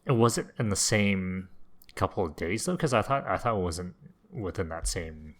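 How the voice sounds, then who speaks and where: A man's voice: low voice, animated, normal speed. A man in casual conversation as a podcast co-host. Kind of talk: casual chat.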